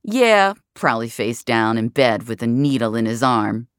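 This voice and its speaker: A woman's voice: rumbling low, sing-song, normal speed, clean tone, no echo, clean studio sound. A woman voicing a cocky, scornful male gamer in an audio drama, mocking and incredulous, with plenty of swearing.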